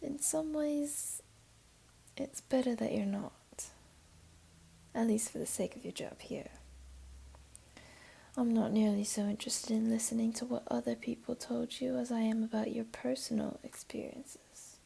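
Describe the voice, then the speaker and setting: A woman voicing a flirty, teasing, comforting jaguar beastling in an intimate ASMR roleplay, speaking softly and coaxingly in a half-whisper. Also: fairly high pitch, steady tone, unhurried, gravelly, long pauses, husky, exaggerated voice. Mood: gently coaxing.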